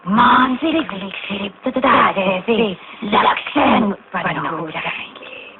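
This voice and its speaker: A woman playing a menacing, immortal gas spirit in a radio drama, declaiming ominous warnings in a theatrical way. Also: on the high side. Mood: foreboding. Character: menacing, immortal female three-faced toxic gas spirit. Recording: noisy, tinny phone-like radio recording.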